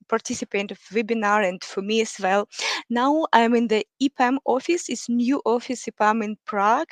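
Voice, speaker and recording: Woman, 30s, from Ukraine, webinar host on a video call, halting English, dry close sound. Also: a little high, no echo. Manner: hesitant, many fillers, simple non-native English. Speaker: webinar host, marketing manager. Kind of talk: live webinar introduction. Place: office.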